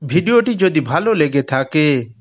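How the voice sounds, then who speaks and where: A man with a fairly high-pitched voice serves as the narrator of a children's cartoon tale. He delivers scripted storytelling lines. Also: sing-song, normal speed, muffled recording.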